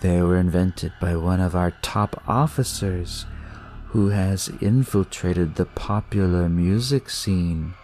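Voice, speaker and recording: Man putting on a slow, ceremonious young cult leader. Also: deep voice, darkish tone, slight background noise. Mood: ominous, grandiose. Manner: slow, drawn-out, ritual pronouncements. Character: smug, ceremonious young male devil-cult leader, looks drugged.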